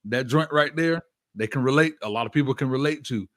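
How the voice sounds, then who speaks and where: A man's voice: mid-range voice, brisk, no echo. A man hosts a radio interview in a loose, conversational way. His talk is slangy and full of little check-in phrases. The sound is dry, with little room on it. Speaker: radio show host. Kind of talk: casual interview chat.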